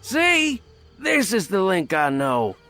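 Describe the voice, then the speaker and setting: A man's voice: high-pitched, huge pitch swings, takes it slowly, bright, forceful tone, soft background music, heavily acted. A man playing a cheerful, talkative, folksy villager with a teasing manner in a fan audio drama.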